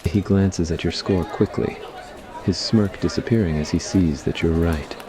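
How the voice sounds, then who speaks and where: Very deep-voiced man narrating ASMR fiction. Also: normal speed, a crowd in the background, background noise. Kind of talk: scripted ASMR story narration.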